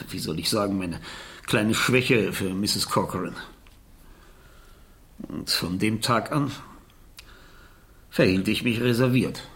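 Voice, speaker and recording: An actor playing a troubled, reserved uncle in a German radio crime drama, speaking haltingly and searching for words. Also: male voice, lowish voice, fairly bright tone. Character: troubled uncle, reserved gentleman explaining his suspicions.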